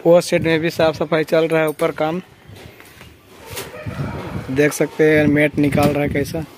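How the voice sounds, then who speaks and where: A casual vlogger talks at a middling pitch. The explanations are plain and often repeat themselves. The phone recording from an open shed carries light noise. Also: completely flat delivery, a little slow. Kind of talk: casual vlog narration, talking to viewers and a child. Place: large open-sided empty poultry shed. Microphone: handheld phone camera, operator behind it.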